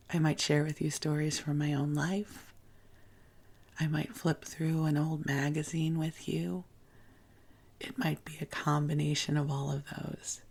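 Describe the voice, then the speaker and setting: A sleep podcast host talking softly and gently in a breathy, husky, half-whispered voice, soothing and unhurried, as if keeping a listener company at bedtime. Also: medium pitch. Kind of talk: soft, intimate sleep podcast talk.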